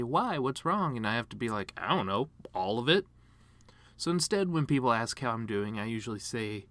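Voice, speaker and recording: Casting: a man playing a grieving, bitter male horse delivering a eulogy monologue; medium pitch, sardonic and self-deprecating, dry recording. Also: no room sound, natural voice. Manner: sardonic, rambling, self-deprecating deadpan humor. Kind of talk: dramatic monologue reading.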